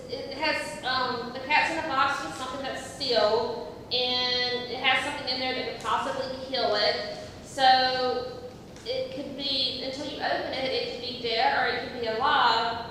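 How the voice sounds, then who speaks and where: A woman acting in an amateur stage comedy as a giggly character who tells rambling jokes in a halting way. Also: sits high, fairly even intonation, sharp tone. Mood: earnest, halting. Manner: halting, slow, rambling explanations. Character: giggly woman slowly telling a rambling joke. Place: theatre stage, picked up from afar. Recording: distant, echoey theatre hall, faint noise.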